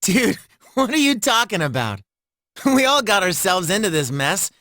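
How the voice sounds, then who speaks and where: A high, animated voice of a good-natured teenage boy in an anime game dub. He talks in a slangy, friendly way, recorded close and dry. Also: moderate pace, no room sound, exaggerated voice. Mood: reassuring. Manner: slangy, informal.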